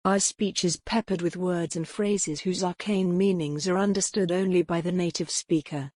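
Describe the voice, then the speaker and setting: A woman reads scripted text aloud as an exam practice model. Her voice is a little low for a woman, and it is captured dry, without echo. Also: fairly even intonation, normal speed, a little mellow. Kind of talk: scripted read-aloud narration.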